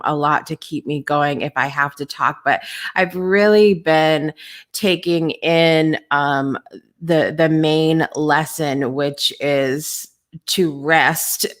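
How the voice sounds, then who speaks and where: A woman hosting a live podcast, talking in a casual, candid way with lots of ums and restarts, her voice hoarse and lower than usual. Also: fairly bright tone. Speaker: podcast host. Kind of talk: casual live-show chat.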